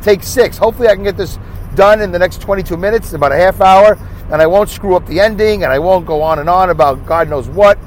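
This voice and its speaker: A man's voice: sits high, fast, low-quality recording. A man with a Brooklyn accent hosting a rambling solo podcast while driving, chatty and self-mocking, asking himself rhetorical questions. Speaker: podcast host. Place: inside a car while driving on a highway. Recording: faint road noise in a car. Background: road and car noise.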